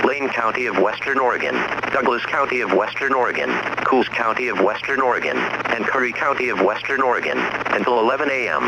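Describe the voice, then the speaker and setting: An impersonal male weather radio announcer voiced by a man reads an emergency alert methodically in a medium-pitched voice. The sound is dull and lo-fi, with clear noise all through it. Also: close to an everyday voice. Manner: formal, methodical, list-like reading. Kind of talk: scripted emergency alert bulletin.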